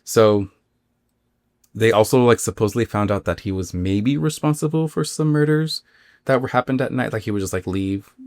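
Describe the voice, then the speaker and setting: A man who hosts a podcast, telling a story casually in an informal, halting way full of filler words. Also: lowish voice, darkish tone, no room sound, studio quality. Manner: informal, halting, filler-heavy with acted-out quotes. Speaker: podcast host.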